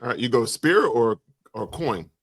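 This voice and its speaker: A man's voice: medium pitch, sing-song, moderate pace, breathy edge, dry recording. Guy on a podcast, casual chat, voice bounces up and down a lot, dry sound. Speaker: podcast co-host. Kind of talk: casual banter.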